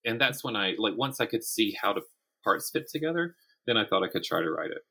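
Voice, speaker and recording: Low, bright-voiced man in conversation. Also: sing-song, quick pace, creaky, breathy edge. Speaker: guest, writer. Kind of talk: conversational interview.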